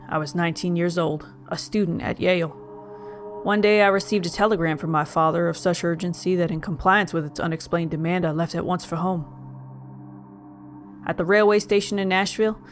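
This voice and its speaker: An actor playing a haunted young gentleman, narrating a gothic tale in formal, melancholy, literary speech. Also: medium pitch, natural voice. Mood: mournful, measured. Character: young man Joel Hetman Jr, mournful, haunted, genteel narrator. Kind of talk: dramatic first-person gothic audio narration.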